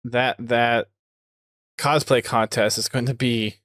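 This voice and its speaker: A man's voice: medium pitch, steady tone, takes it slowly, a bit bright, no room sound, studio quality. A man hosting a podcast in casual conversation, thinking aloud as he talks. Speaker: podcast host.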